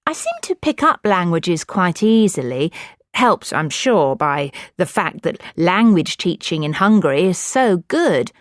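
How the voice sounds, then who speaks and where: A woman giving a calm, clear, unhurried sample answer in a scripted exam speaking recording. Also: fairly low pitch, dry recording, studio quality.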